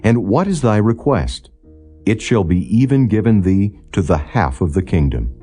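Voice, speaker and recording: A man's voice: lowish voice, animated, moderate pace, dark tone, breathy edge, faint music behind. A man voicing an eager, generous king in a scripture audiobook, with regal, formal, questioning delivery. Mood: regal, inquiring. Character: eager, generous king addressing his queen and court.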